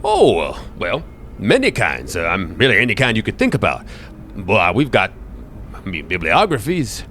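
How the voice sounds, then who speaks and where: A man's voice: lowish voice, huge pitch swings, moderate pace, fairly bright tone, exaggerated voice. A man acts out a genial old bookseller for a tabletop game. His voice swings widely up and down as he rambles, hesitates and apologizes in folksy exclamations. There is a faint noise behind him. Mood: rambling, helpful.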